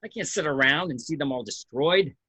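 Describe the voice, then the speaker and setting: A man's voice: on the high side, animated, a little fast, pushed and bright, slightly rough, no echo, heavily acted. A man playing a nervous cartoon studio boss who pleads urgently in an amateur script read. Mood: desperate pleading.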